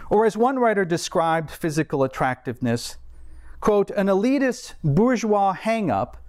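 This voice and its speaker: A man's voice: on the high side, darkish tone. A man preaching a scripted sermon in formal, erudite language, with wry asides. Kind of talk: scripted sermon, read like an essay.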